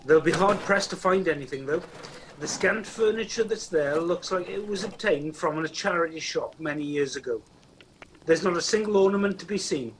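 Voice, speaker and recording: A man's voice: high-pitched, natural voice, rough recording. A man playing a wry storytelling narrator in an amateur radio play. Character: wry storybook narrator of a Christmas ghost tale. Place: at home, recorded over video call. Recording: faint noise, home video-call recording.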